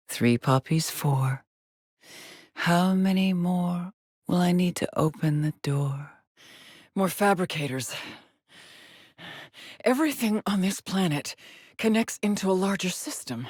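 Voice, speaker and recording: Game lead: weary, obsessive woman explorer, husky and deliberate, slipping into whispers, very dry close recording. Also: mid-range voice, big pitch swings, dry recording. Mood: wary, sombre. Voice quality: husky, breathy, often dropping to a whisper. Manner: slow, deliberate, cryptic pronouncements. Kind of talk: dramatic video game monologue, log-like narration.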